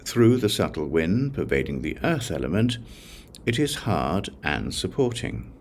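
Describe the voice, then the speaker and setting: Male narrator for sacred-text audiobook: low, gravelly, measured reading with varied intonation, faint background noise. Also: very animated.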